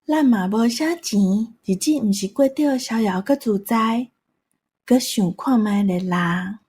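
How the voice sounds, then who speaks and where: A woman playing a gentle, practical old country grandmother with a Taiwanese accent in a radio drama. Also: on the high side, measured pace, husky. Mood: thoughtful.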